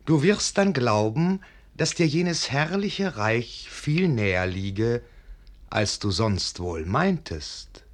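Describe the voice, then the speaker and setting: A man narrating a literary radio play as a storyteller, measured and formal toward the listener. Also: medium pitch, very animated. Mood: measured, storytelling. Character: storyteller addressing the gentle listener. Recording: faint music behind.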